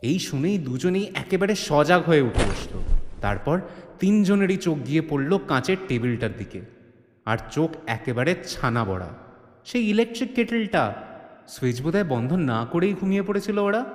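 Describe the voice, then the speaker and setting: A man's voice: fairly high pitch, sing-song, normal speed. A man narrating a comedy-mystery story in a theatrical, suspenseful way, with touches of mock alarm. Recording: long hall reverb, music bed.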